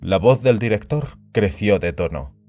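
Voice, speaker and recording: A man's voice: fairly low pitch, expressive, unhurried, darkish tone, faint music behind. A man reading a science fiction story aloud as a scripted, dramatic narration.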